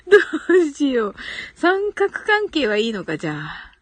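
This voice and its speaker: A woman's voice: high-pitched, no echo. A woman hosting a casual live audio stream, giggly and thinking aloud as she reacts to listener comments.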